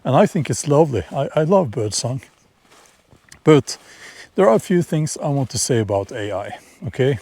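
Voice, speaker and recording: A middle-aged man with a Norwegian accent, talking casually to camera outdoors in a vlog, halting and repeating words as he shares opinions. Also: medium pitch, big pitch swings, mellow. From Norway. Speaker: vlogger. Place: outdoors in nature, near horses.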